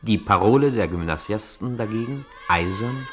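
A man with a deep voice narrates a radio play. His delivery is measured, the way a storybook is read aloud. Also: animated, noisy background.

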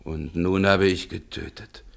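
An actor playing a bewildered, guilt-stricken man in a 1960s German radio drama, with a gravelly, husky voice. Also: deep voice, fairly even intonation, unhurried. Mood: agitated.

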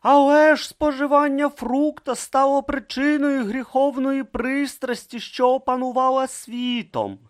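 A man's voice: extremely high pitch, flat delivery, a little slow, few pauses, voice pushed up. A man voicing a timid, earnest young male novice monk who speaks hesitantly and anxiously.

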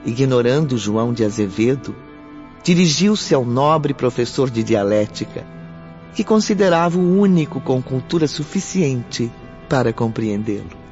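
A man's voice: mid-range voice, expressive, measured pace, a little mellow, lightly acted. A man with a Brazilian accent narrating a radio drama in a composed, understanding manner. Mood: measured, understanding. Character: composed, understanding male narrator from the spirit world. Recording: dull lo-fi audio, faint music.

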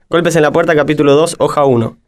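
A man's voice: fairly high pitch, moderate pace, a little mellow, close to an everyday voice. A man playing the announcer who narrates a comic crime radio serial in a declamatory manner. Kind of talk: scripted radio play narration.

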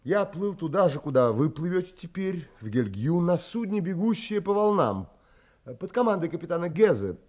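An actor playing an earnest, educated castaway who narrates his sea adventure in an audio drama, deliberate, courteous and expressive. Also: on the high side.

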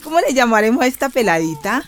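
A woman's voice: on the high side, very animated, quick pace. A woman playing an anxious, compassionate rural wife and mother in a radio drama, with a Colombian accent and plaintive country speech. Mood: tender.

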